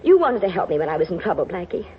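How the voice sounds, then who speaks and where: An actress playing an earnest young woman in a 1940s radio melodrama, pleading in a halting, emotional way. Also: fairly low pitch, sing-song, slightly rough, exaggerated voice. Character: earnest, distraught young female cattle rancher, pleading. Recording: muffled old radio broadcast, vehicle noise.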